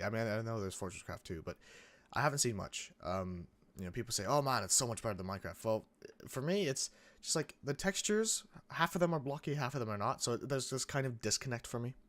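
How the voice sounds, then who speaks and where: A young man hosting a gaming channel, chatting casually over gameplay in a rambling way with lots of restarts, his voice a bit croaky from coming down with a cold. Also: medium pitch, a bit bright. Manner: rambling, many restarts and fillers. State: getting sick, a bit croaky.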